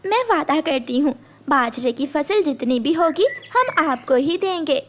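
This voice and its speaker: A woman's voice: high voice, expressive, unhurried, slight background noise. A woman voicing a kind, poor female bird farmer in a cartoon, speaking earnestly and imploringly. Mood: determined.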